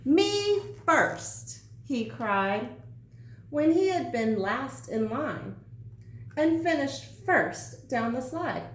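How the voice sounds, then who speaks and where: A woman teacher reading a children's story aloud with deliberate, emphatic phrasing. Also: on the high side, very animated. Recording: roomy echo, some background noise.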